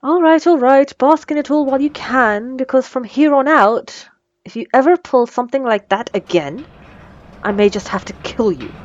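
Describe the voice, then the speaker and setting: A woman's voice: sits high, animated, moderate pace, no room sound, lo-fi sound. A woman playing a wry, menacing supervillain in a scripted audio roleplay, teasing and condescending, with cool threats. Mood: grudgingly impressed. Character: wry, menacing female supervillain mentoring a captive assistant.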